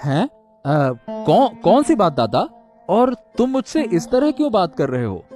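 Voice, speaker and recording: A man's voice: high-pitched, wildly swooping pitch, unhurried, warm tone, faint music behind, full character voice. A man voicing a meek, devoted and helpless younger brother in a cartoon story, repetitive, stammering and pleading. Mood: bewildered. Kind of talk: Hindi moral-story cartoon dubbing.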